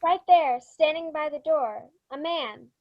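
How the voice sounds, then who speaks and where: Woman playing a polite, high-voiced little girl. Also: measured pace, muffled recording, noisy background. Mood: uneasy. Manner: polite, careful, childlike. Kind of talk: old-time radio play acting.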